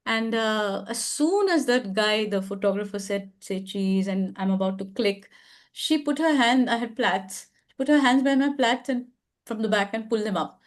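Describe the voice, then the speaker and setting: A woman's voice: mid-range voice, animated, moderate pace. A woman hosting an advice chat on a video call, speaking reflectively and affirming others, often restarting her sentences. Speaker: advice show host.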